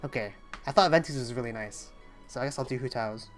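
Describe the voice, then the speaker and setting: A woman's voice: a bass voice, fast. A young woman livestreaming a game, chatting casually and thinking aloud with some hesitation. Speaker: game streamer. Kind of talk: casual livestream chat while playing. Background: game music.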